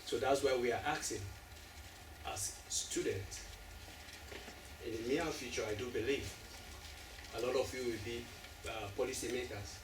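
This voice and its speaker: Halting man in a distant hall. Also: mid-range voice. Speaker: panelist. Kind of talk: appeal to students. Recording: echoey hall from far off, noisy.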